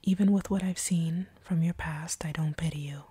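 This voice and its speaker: A woman performs as an ancient female vampire in an intimate audio roleplay. Her voice is a dark, very breathy whisper, husky and close in a dry recording. She speaks in a deliberate, hushed way, a stern captor who is softening. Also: sits low, steady tone, dry recording. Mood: earnest, softening.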